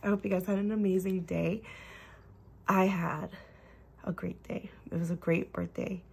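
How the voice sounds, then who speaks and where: A woman in her thirties hosting a casual budgeting vlog at home, bubbly and gushing, her voice slightly hoarse from a lingering cough. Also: medium pitch, fairly even intonation, normal speed. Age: 30s. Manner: bubbly, gushing, repeats herself. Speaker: budgeting channel host. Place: at home, at a table.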